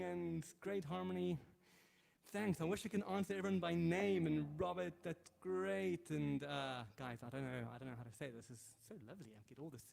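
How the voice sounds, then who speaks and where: A man hosts a live music stream from home, his voice heavy with creak and gravel. He talks in a loose, halting way, restarting sentences and filling pauses. He reads and thanks viewers' comments as he goes. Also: high-pitched, darkish tone. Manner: hesitant and rambling, many fillers and restarts; thanks viewers while reading their comments aloud. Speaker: livestream host and guitarist.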